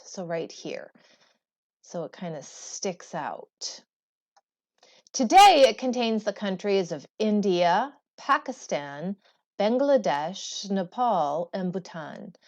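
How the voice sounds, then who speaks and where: Lady teacher reading a textbook out loud, really varied pitch, slightly dull lo-fi sound. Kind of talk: textbook read-aloud with brief asides.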